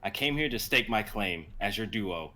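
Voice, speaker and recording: A calm young warrior, played by a man, speaks in a lowish voice. He is resolute and self-sacrificing, the steady hero of a fantasy drama. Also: normal speed. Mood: firm, challenging. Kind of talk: dramatic script reading.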